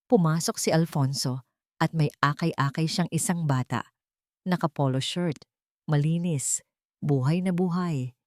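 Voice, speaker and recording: Lady audiobook narrator, lowish voice, dramatic scripted storytelling, super dry recording. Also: a little mellow, no echo.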